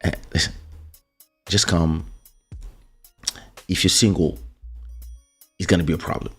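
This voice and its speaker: A man's voice: sits low, fairly even intonation, a little slow, a bit bright, breathy edge, soft background music. A man with a French accent hosting a casual livestream, talking loosely with fillers and restarts while greeting his viewers. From France. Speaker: livestream host and musician. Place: home streaming setup in Portugal. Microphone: studio desk microphone.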